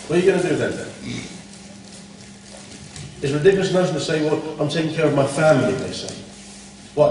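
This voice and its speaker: A man's voice: on the high side, darkish tone, a little hiss or hum. A man giving a blunt, scolding Islamic talk at a conference, full of rhetorical questions. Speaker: conference speaker. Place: conference hall. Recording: echoey hall from far off.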